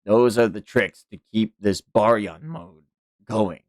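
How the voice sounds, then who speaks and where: A man's voice: fairly low pitch, slow, darkish tone, no echo, studio quality. A man voicing an ancient fox spirit mentor from a comic, grave and instructive. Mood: grave, instructing.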